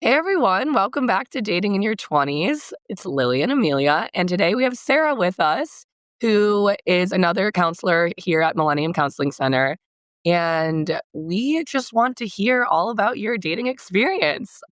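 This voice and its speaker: A woman's voice: mid-range voice, expressive, moderate pace, no echo, clean studio sound. A woman of twenty-five with an American accent, co-hosting a casual podcast chat in an informal way peppered with "like". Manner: informal, frequent "like". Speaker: podcast co-host, therapist.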